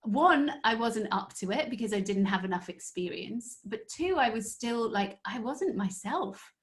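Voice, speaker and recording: A woman guest telling stories in a casual interview, animated and full of fillers. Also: on the high side, moderate pace. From northeast England. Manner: animated storyteller, many fillers. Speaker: guest, story strategist.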